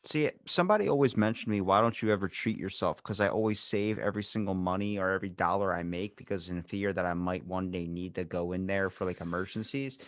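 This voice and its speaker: A man's voice: low voice, fast, hardly any pauses, no echo. Blunt man chatting, dry close sound. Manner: blunt, venting, swears freely. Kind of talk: casual chat.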